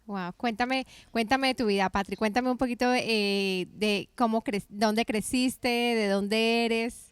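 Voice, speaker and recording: A Colombian woman hosts a conversational interview, her voice sitting a touch higher than most and recorded dry and close. She keeps the talk moving with short affirmations and gentle questions. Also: fairly even intonation, slow pace, smooth, no room sound. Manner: affirming, frequent encouraging backchannels. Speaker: podcast host.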